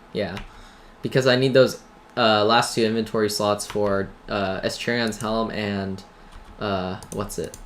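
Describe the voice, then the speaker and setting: A man hosting a gaming video with casual, rambling commentary and tongue-in-cheek, self-deprecating asides. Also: lowish voice. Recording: noisy home mic, keyboard clatter. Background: typing and background noise.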